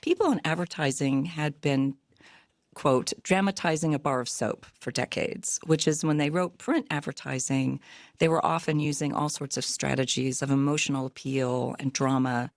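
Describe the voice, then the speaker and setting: A woman's voice: very deep voice, steady tone, normal speed, no echo, clean studio sound. A woman author being interviewed, explaining her subject in a thoughtful way with the odd filler.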